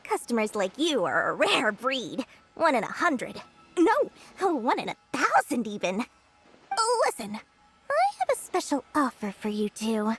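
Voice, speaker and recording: A woman voicing a small, sly, greedy merchant in a video game, with flattering sales patter and drawn-out theatrical flourishes. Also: on the high side, husky, faint music behind, heavily acted.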